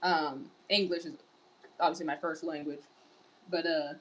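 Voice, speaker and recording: A woman's voice: low-pitched, fairly even intonation, unhurried, heavy background noise. A woman streaming live in casual chat, reading viewer messages aloud and answering them. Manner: wearing large headphones. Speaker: livestreamer.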